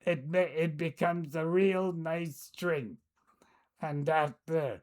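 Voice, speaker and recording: An older woman, recalling the past in a casual, hesitant way, often pausing to search for words. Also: low-pitched, darkish tone. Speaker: storyteller. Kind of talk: casual recollection.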